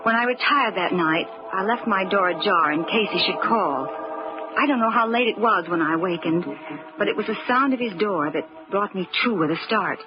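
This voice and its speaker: A woman's voice: medium pitch, expressive, normal speed, music in the background, lightly acted. Muffled old radio drama, anxious young woman played by an actress. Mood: tense narration. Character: anxious, protective young stepsister narrating a mystery. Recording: muffled, noisy vintage radio recording.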